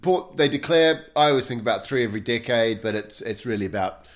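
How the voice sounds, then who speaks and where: A man's voice: mid-range voice, expressive, fairly quick. A man hosting a wine show interview, chatty and a bit hesitant as he asks questions. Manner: chatty, hesitant, fills gaps with uh and um. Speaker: podcast host. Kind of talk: casual interview.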